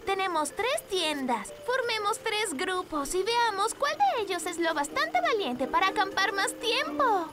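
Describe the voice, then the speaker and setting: Animated, very high cartoon girl. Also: a little slow, few pauses, very noisy, exaggerated voice. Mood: upbeat, encouraging. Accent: Latin American. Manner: animated, swooping, eager. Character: cheerful, bossy-kind young cartoon girl, upbeat leader. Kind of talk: Spanish-language children's cartoon dub. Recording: children's music behind the voice.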